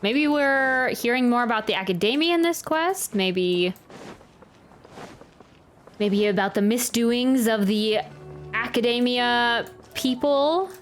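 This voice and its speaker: A woman streams a game and talks casually to her viewers in a fairly high voice. She is chatty, giggly and quick to poke fun at herself. Soft game music plays behind her. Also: expressive. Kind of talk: casual chatty livestream commentary. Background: video game music.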